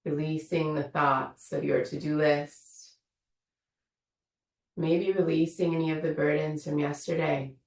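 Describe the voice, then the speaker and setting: A low-voiced woman guides a meditation, her words unhurried and gently inviting. Her voice has a gravelly edge. She sounds a little away from the mic, and the room gives it an echo. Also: flat delivery, distant mic. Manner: slow, soothing, invitational guidance. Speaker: meditation teacher. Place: room of an online yoga studio.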